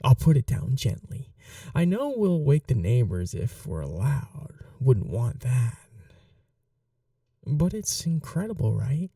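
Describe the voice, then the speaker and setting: A performer acts out an obsessive, courteous vampire in an intimate ASMR roleplay. The voice is gravelly and unhurried, coaxing in soft murmurs that slip toward whispers. The sound is dry, with a quiet menace beneath the politeness. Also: mid-range voice, very animated, no room sound, recorded from a distance, exaggerated voice. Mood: smug.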